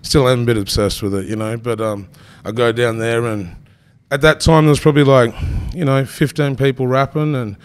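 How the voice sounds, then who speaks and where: A man in his thirties with an Australian accent, a rapper guest telling stories in relaxed, casual podcast talk. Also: medium pitch, normal speed, clear, resonant tone. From Tasmania. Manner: laid-back storytelling, peppered with "bro".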